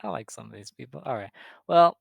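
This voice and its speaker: A man's voice: mid-range voice, animated, brisk, creaky. A man co-hosting a casual podcast, talking things through with lots of "I think" and "um". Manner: chatty, hedging with "I think" and "um". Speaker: podcast co-host. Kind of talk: casual wrestling podcast chat.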